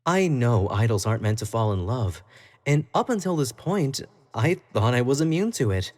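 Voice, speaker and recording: Man acting an obsessive, theatrical young idol, wildly swooping pitch. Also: big pitch swings, moderate pace, a little mellow. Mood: lovesick, pleading. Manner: theatrical, swinging between sweet pleading and cold condescension. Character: obsessive young male pop idol, jealous, clingy, menacing kidnapper. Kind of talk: dramatic roleplay monologue spoken intimately to the listener.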